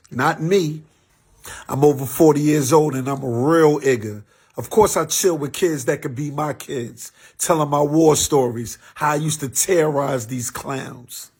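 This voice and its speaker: A man playing a swaggering, husky loudmouth. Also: on the high side. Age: 40s. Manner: swaggering, repetitive bragging chant. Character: boastful man over forty who brags about jail. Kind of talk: satirical skit monologue.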